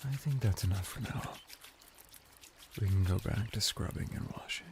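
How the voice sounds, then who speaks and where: A man voicing a flirty, calm merman bathhouse attendant in an ASMR roleplay, intimate and courtly, with formal, flattering speech. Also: lowish voice, noisy background, close to an everyday voice. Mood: tender, flirtatious. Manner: soft-spoken, intimate, formal flattering speech with lingering pauses. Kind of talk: ASMR roleplay addressed to the listener.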